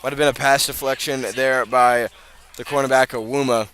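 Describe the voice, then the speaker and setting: A man doing live radio play-by-play of high school football, often halting and correcting himself as he goes. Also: little pitch movement, a bit bright, smooth. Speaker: play-by-play sports announcer.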